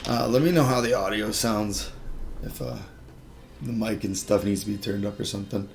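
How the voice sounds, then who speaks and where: A man with a low, raspy, gravelly voice, talking casually on a live stream in his living room. He rambles in a nostalgic way while setting up his console, speaking into a desk mic. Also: normal speed, slight background noise. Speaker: streamer playing a game. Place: home living room with a couch.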